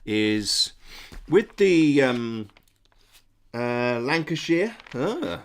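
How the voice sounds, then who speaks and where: A man's voice: mid-range voice, sing-song, very slow. A middle-aged man with a stuffy nose from a cold, hosting a casual live stream, answering chat in a hesitant, friendly way. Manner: hesitant, many ums and restarts, friendly toward viewers.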